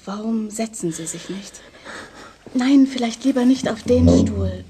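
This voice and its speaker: An actress playing a gentle, composed, discreet woman in an intimate radio drama, with a breathy, husky voice. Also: fairly high pitch, a little slow, slight background noise, natural voice. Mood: grave, consoling.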